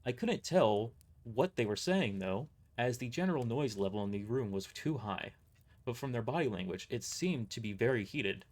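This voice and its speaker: A man narrating a first-person story aloud, reading a little haltingly and stumbling over words. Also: medium pitch, lively intonation.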